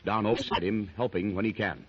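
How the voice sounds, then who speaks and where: A man's voice: fairly low pitch, steady tone, a little fast, a bit bright, a little hiss or hum. A man narrating a 1930s radio adventure serial in a dramatic style that rises with the action. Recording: dull lo-fi 1930s radio recording.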